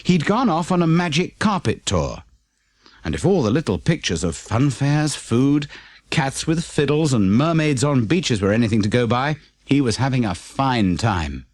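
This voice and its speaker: Animated British man narrating a children's story. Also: mid-range voice, normal speed, dry recording. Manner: expressive storytelling. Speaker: audiobook narrator.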